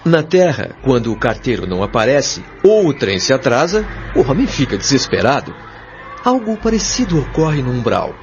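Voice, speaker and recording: A man's voice: mid-range voice, sing-song, normal speed, slight background noise. A Brazilian man playing a patient, authoritative spirit guide in an audio drama, explaining things in a solemn, articulate, didactic way. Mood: grave, explanatory. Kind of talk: audio drama acting, expository dialogue. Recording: dull lo-fi audio over music.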